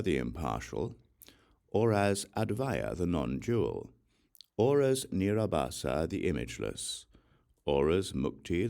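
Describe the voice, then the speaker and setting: A man reading a Buddhist scripture as an audiobook narrator, in a measured, phrase-by-phrase delivery. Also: low voice, vocal fry, darkish tone. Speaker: audiobook reader.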